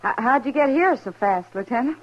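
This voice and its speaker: A woman's voice: high voice, animated, a little fast. An actress playing a concerned, kindly frontier woman in a 1950s radio western. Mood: flustered. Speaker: radio drama actor. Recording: muffled, noisy old radio recording.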